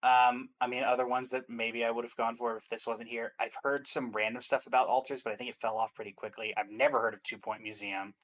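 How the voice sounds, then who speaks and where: A man's voice: medium pitch, little pitch movement, a little fast, hardly any pauses, a bit bright, poor audio. A man chatting casually and giving his opinions as a guest commentator on games. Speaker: guest commentator on game awards stream.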